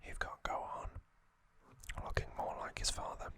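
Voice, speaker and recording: A man whispering slowly and deliberately in breathy, hushed short phrases, as an ASMR host narrating trivia. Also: no room sound.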